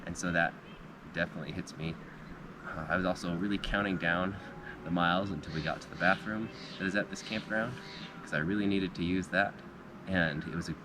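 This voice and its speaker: A slightly hoarse man giving a thoughtful, upbeat end-of-day recap at an outdoor backpacking campground. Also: low-pitched. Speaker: backpacking vlogger. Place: outdoor backcountry campground by a river. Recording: outdoor recording with clear background noise.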